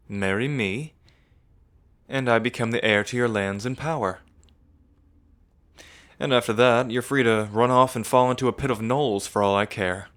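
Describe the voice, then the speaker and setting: A man's voice: lowish voice, fairly bright tone. A man playing a smug, menacing evil prince in an ASMR roleplay, mocking and condescending, drawling like a theatrical villain. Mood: scornful menace.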